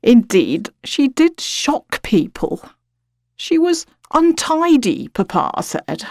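A woman with a British accent giving an expressive, reflective dramatic reading of literary fiction as a narrator. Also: sits high, slow pace, gravelly, dry recording, clean studio sound.

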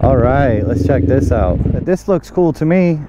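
A man's voice: medium pitch, animated, unhurried. A man giving offhand, slangy vlog commentary, thinking aloud as he rides, into a helmet mic. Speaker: motovlogger. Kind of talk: casual motorcycle vlog commentary. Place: outdoors, riding a motorcycle. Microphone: mic inside motorcycle helmet. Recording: muffled helmet mic, loud engine and wind noise. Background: loud motorcycle and traffic noise.

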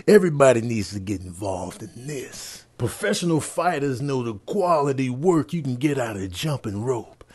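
A man's voice: medium pitch, big pitch swings, moderate pace, hardly any pauses, a little mellow, breathy edge. A man doing comedic voiceover commentary over video clips, as a comedian.

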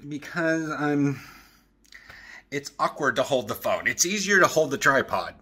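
Male vlogger, thirties, bright forward voice, loose rambling chat with joking asides, handheld camera at home. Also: a little high, expressive, measured pace, husky. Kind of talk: casual chatty vlog. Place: apartment living room and open kitchen. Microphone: handheld camera at arm's length.

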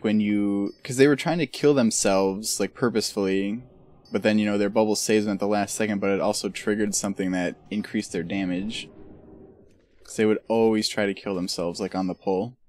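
A man's voice: fairly low pitch, darkish tone. A man streaming a video game, chatting casually and joking in a rambling way. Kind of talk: casual chat while gaming.

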